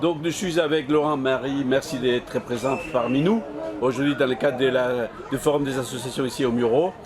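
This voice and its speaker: A man's voice: medium pitch, steady tone, a little fast. A man working as a local web TV interviewer, asking questions on location at a community fair. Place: community associations forum in a sports hall. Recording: music playing behind, busy event. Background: music.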